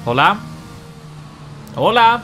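A man from Spain playing an excitable, complaining racing driver in a comedy parody of team radio, dramatic and colloquial. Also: high-pitched, extremely slow, over music, heavily acted. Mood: bemused.

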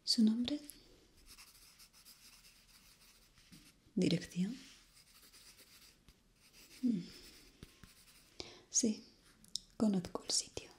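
A young woman from playing a polite, eerie hotel receptionist in an ASMR roleplay, formal and hushed. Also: medium pitch, a little hiss or hum. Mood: quietly inquisitive. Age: young adult. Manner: formal, slow, hushed and courteous.

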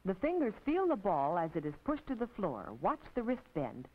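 An American woman narrating an instructional film as a teacher, in a precise, didactic, scripted manner with measured phrasing. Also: medium pitch, huge pitch swings. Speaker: narrator and physical education instructor. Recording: tinny, band-limited 1950s film soundtrack.

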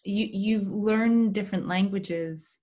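Young woman host on a video call, gentle questioner, middle pitch. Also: slow. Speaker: interviewer, host. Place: room with red banner.

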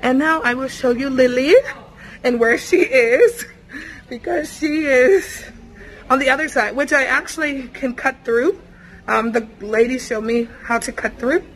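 A woman's voice: high-pitched, steady tone, unhurried. A young woman vlogging as she walks through a buffet restaurant, talking in a chatty, rambling way with many fillers, holding the camera at arm's length. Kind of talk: casual vlog walkthrough. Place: busy indoor buffet restaurant. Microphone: handheld camera at arm's length. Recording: noisy restaurant ambience.

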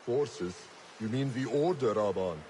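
A man's voice: medium pitch, expressive, measured pace, mellow, slight background noise, lightly acted. A man playing a wary, firm leader in a video game cutscene. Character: wary, firm male rebel-allied leader.

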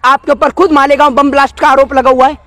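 A man's voice: extremely high pitch, level tone, fast talker, bright, forceful tone, dry recording. A man, arguing indignantly in rhetorical questions during an outdoor street interview. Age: 20s. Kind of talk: impassioned street interview on politics. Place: outdoors by a wall. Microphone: cluster of handheld news microphones held close.

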